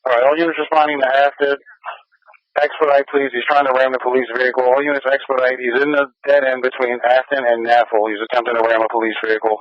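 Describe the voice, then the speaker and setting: A man's voice: mid-range voice, level tone, fast, dry recording, dull audio. An American man working as a police radio dispatcher, repeating key details in clipped, official phrases over a scanner feed. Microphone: radio transmission.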